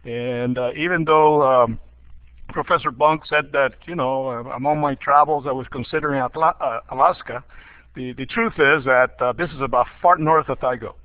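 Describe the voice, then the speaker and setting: An older man from Cuba talks through his thoughts with frequent "uh"s and restarts, now and then chuckling at his own jokes. His voice sits in an ordinary middle pitch. The audio is dry and a bit dull, like a lo-fi online call. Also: normal speed, no echo. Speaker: dean of a school of education. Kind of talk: casual spoken overview on a webinar.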